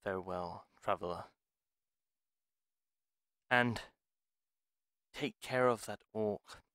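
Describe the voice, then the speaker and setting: A man plays a bitter fantasy healer with a stern, formal way of speaking. His voice is low and measured in pace, recorded close and dry, as in an audio roleplay. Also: fairly bright tone, no room sound. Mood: grave. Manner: stern, formal, clipped phrases. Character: bitter, curt male pharmacist healer in fantasy cottage.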